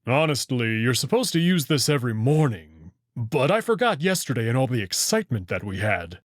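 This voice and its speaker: A man's voice: medium pitch, very animated, a little fast, dry recording, clean studio sound, natural voice. A man voicing a friendly young male wolf with a polite, slightly formal, eager manner in a visual novel. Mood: explanatory. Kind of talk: visual novel character reading.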